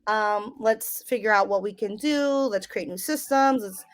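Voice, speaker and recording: A young woman from the United States telling her life story as an interview guest, relaxed and rambling with lots of ums. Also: medium pitch, a little slow. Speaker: guest, business owner.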